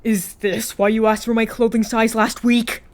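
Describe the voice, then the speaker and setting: A woman's voice: fairly high pitch, little pitch movement, normal speed, voice pushed up, close to an everyday voice. A woman voicing a grumpy, easily outraged teenage boy in a story reading, complaining in an exasperated way. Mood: disbelieving. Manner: loud, exasperated, dragged-out complaining.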